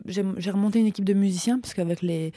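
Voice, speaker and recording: A woman's voice: mid-range voice, quick pace, mellow, dry recording. A woman with a French accent talking casually in a radio studio interview, hesitant and full of fillers and restarts. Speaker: singer-songwriter guest. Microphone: studio microphone.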